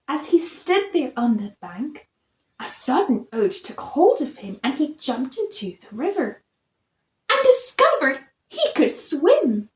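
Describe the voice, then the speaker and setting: A woman reading a children's picture book aloud in an expressive, theatrical storytelling manner. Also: sits high, takes it slowly. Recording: roomy echo.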